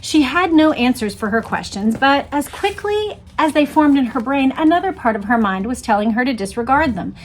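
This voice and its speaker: Higher-pitched woman explaining and reading aloud. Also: lively intonation, moderate pace, few pauses, a little hiss or hum. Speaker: host reading a book aloud with commentary. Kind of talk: explanatory talk and dramatic read-aloud.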